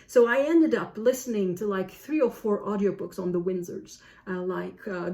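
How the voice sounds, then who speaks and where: Woman chatting casually, halting and self-correcting. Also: mid-range voice, a little mellow. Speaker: book vlogger. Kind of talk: casual book chat to camera.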